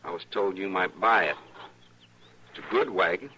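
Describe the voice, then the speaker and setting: An actor playing a broke, hot-tempered, protective young husband in an old radio western, with folksy speech. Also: male voice, sits low, moderate pace, bright, forceful tone. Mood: hopeful, hesitant. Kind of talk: 1950s-60s radio western acting. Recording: noisy old radio recording.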